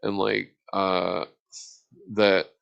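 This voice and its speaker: A middle-aged man rambling through casual stories in a halting, repetitive way, with slangy and profane phrasing. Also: low-pitched, flat delivery, creaky, dull audio.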